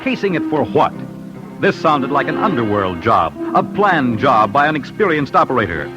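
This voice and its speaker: A man's voice: mid-range voice, big pitch swings, moderate pace. A man narrating an old-time radio crime show in a punchy, clipped, suspenseful way. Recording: old radio broadcast with music bed.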